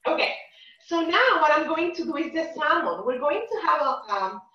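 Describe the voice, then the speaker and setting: A middle-aged woman chef explains each step of a dish as she cooks. Her voice sits a little high and keeps checking in with the viewers. She is recorded from across a home kitchen, so the sound is roomy, distant and dull. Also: lively intonation, normal speed, pushed and bright. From Dominican and Cuban family. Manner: chatty, explains while she cooks, says "right?" often. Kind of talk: live cooking demonstration. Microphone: camera across the kitchen.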